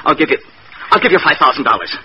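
A man's voice: on the high side, very animated, fast. An actor playing a shifty, greedy man with an evasive, nervous, insistent manner in an old radio crime drama. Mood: greedy, urgent. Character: shifty, greedy male client, evasive and anxious. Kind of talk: 1940s radio crime acting. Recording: muffled, faintly noisy old radio recording.

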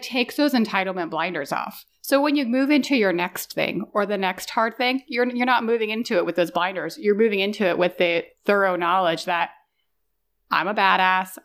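A woman's voice: medium pitch, a bit bright. A woman co-hosting a podcast, talking in a casual, reflective way. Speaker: podcast co-host.

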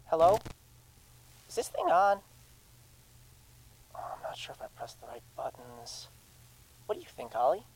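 A woman's voice: low voice, huge pitch swings, measured pace, pauses often, darkish tone, a little hiss or hum, exaggerated voice, rough recording. A woman voicing an earnest, timid twelve-year-old boy in an audio drama, hesitant and stammering as he pleads and rambles. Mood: curious, rambling.

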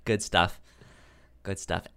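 Slow-talking man with a stutter. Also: fairly bright tone. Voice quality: stutters, repeating sounds and words. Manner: halting, hesitant delivery. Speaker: podcast co-host. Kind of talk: casual chat recommending comics and shows.

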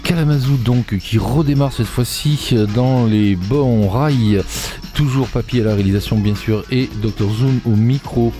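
A man's voice: mid-range voice, unhurried. A man hosting a radio music show, talking off the cuff in a hesitant, digressive way with frequent reformulations. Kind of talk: unscripted radio presenting between songs. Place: radio studio.

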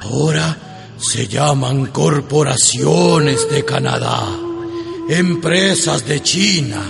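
A man voicing gold personified, speaking from deep inside a mountain, stern and scolding in a radio drama. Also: creaky. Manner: slow, stern, corrects and scolds. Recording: reverberant with loud music.

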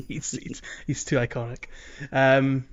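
A man's voice: mid-range voice, level tone, slow. A man co-hosting a podcast, talking casually about films and searching for his words as he goes. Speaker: podcast co-host. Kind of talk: casual film discussion. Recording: slightly dull, muffled audio.

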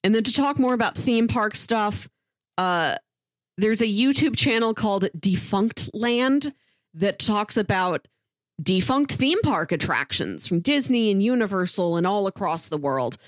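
A woman's voice: medium pitch, animated, measured pace, dry recording. Chatty woman podcaster, close dry sound. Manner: chatty, informal, frequent "like" asides. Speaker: podcast co-host. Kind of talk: casual podcast recommendations.

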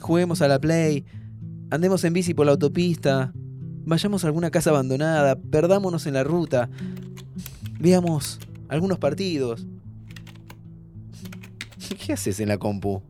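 An actor playing a playful, impulsive young man with an Argentine accent in an informal, expressive audio drama. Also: fairly high pitch, unhurried, pauses often, faint music behind, natural voice. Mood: playful, teasing. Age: young adult. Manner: informal, expressive, breaks into restarts. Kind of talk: naturalistic audio fiction dialogue.

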